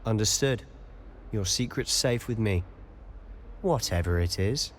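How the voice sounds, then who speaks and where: An actor playing a cocky, sly teenage schoolboy wizard in a video game, confident and conspiratorial. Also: male voice, on the low side, lively intonation, measured pace, a little hiss or hum. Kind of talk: video game cutscene acting.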